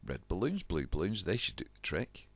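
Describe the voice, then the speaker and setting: A man's voice: low voice, big pitch swings, normal speed, fairly bright tone, no echo, exaggerated voice. A grandpa doing a chirpy boy sailor. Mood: chanting. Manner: bouncy, sing-song chanting. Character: plucky boy sailor, cheerful and unflappable. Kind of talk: storybook reading.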